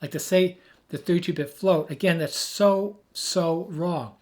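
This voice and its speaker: A man who has lost his voice, hoarse, giving an emphatic, rambling off-the-cuff tech explanation as a video host. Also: sits high, unhurried. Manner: emphatic, rambling, with frequent restarts.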